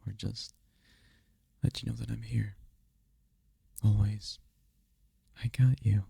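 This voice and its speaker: A man playing a tender, teasing incubus demon boyfriend in an intimate ASMR roleplay, speaking in a breathy, soothing, soft-spoken way. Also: flat delivery, takes it slowly, no room sound, full character voice. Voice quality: breathy, gravelly low voice.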